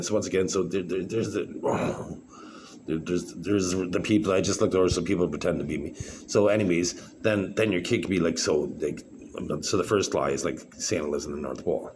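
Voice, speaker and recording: A man with a very deep voice, talking in a halting, rambling monologue full of fillers and restarts. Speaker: vlogger. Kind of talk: rambling solo monologue to camera. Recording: clear background noise.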